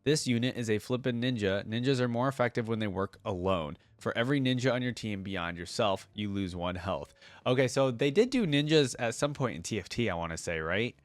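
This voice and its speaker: A man's voice: medium pitch, animated, a little fast, no echo. A man giving casual gaming reaction commentary as a video host, thinking aloud in an informal way.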